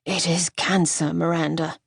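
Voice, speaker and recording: A woman voicing a gravely ill gentleman who speaks softly and tenderly in a lowered, hushed voice. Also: flat delivery, measured pace, no room sound, studio quality, exaggerated voice.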